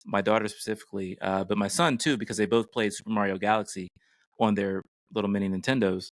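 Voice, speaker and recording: A man's voice: fairly low pitch, steady tone, fast talker, no echo, clean studio sound. A middle-aged man co-hosting a podcast, giving casual, enthusiastic pop culture commentary in a conversational way. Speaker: podcast co-host.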